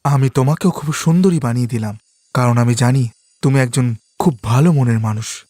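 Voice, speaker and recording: A man's voice: medium pitch, normal speed, warm tone, no echo, clean studio sound, natural voice. A man voicing a benevolent magic mirror spirit in a cartoon fairy tale, proclaiming its powers. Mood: benevolent, proud.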